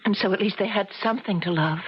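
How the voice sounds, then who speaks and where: An actress plays a tender, unsettled American woman visitor. Her voice is extremely husky and breathy, and she asks gently and hesitantly. The sound is a dull vintage radio drama from the 1970s. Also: medium pitch, fast talker, lightly acted. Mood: pitying.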